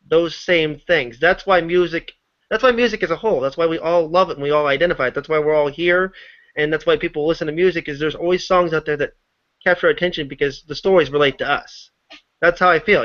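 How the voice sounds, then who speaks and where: A man talking earnestly in a casual group discussion, repeating his point. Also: on the high side. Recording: dull, muffled audio.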